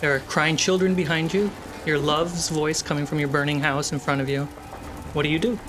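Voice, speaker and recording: A woman's voice: low-pitched, steady tone, a little fast, over music. A woman narrating a tabletop roleplaying game as game master, with dramatic, vivid storytelling. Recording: noisy, with ambience behind.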